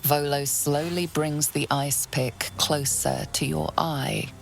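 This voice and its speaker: A woman playing a coolly composed, ominous narrator in a fantasy video game, telling the story in a measured way. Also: deep voice, steady tone, creaky, a little hiss or hum, heavily acted. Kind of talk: scripted second-person game narration.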